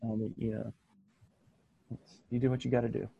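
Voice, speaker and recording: An American man co-hosting a podcast in casual chat, halting with many restarts and fillers. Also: medium pitch, flat delivery, gravelly, narrow, tinny audio, a little hiss or hum. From United States. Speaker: podcast co-host.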